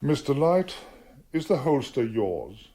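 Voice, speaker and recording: Formidable gentleman barrister, English accent, commanding and witty, widely swinging delivery for radio drama. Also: medium pitch, very animated, takes it slowly, husky, exaggerated voice. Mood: probing, grave. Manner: theatrical, commanding. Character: formidable, witty gentleman barrister, a doting but teasing father. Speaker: actor playing a defence barrister.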